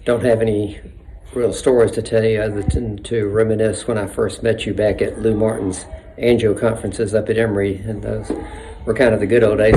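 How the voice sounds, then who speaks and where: A man in his sixties giving a plain, reminiscing congratulations in a phone video. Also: lowish voice. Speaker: retiring doctor's colleague. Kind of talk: video message. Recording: faint background noise.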